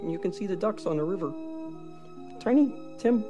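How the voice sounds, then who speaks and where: A woman voicing a gentle, grieving father with halting, subdued speech in a storybook drama. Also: mid-range voice, lively intonation, lo-fi sound, over music, pitched below the performer's own voice, lightly acted.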